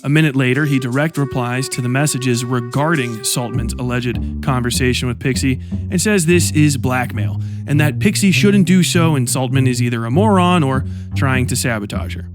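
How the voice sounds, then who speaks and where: A man reads a scripted commentary timeline at a middling pitch, measured and dry with a sardonic edge. A guitar track plays clearly underneath his voice. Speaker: commentary narrator. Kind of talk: scripted documentary-style narration. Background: guitar music.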